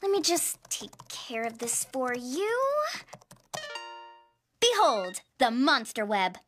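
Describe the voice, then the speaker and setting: A woman's voice: sits high, slow pace, faint music behind, full character voice. A woman playing a perky, confident teenage monster girl in a cartoon, bubbly and a little teasing. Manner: bubbly, excitable, a bit teasing. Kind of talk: animated film voice acting.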